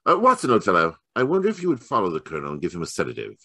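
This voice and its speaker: Gentleman detective for radio mystery, played by a man: very low voice, lively inflection, shrewd, courteous, close dry sound. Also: dry recording, full character voice. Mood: courteous, assured. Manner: measured, courteous, old-chap formality. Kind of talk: old-time radio mystery acting.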